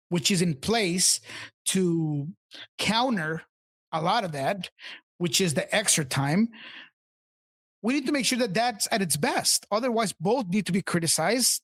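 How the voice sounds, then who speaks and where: A man with a slightly raspy voice co-hosting a casual sports podcast, talking loosely and emphatically with lots of fillers and restarts. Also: high voice. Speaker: podcast co-host, team insider.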